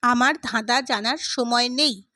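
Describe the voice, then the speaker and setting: A woman plays a restless girl of seventeen in an audio story, teasing and quick to flare up. The voice is high, and sounds dry and close. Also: bright, forceful tone, dry recording. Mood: irritated.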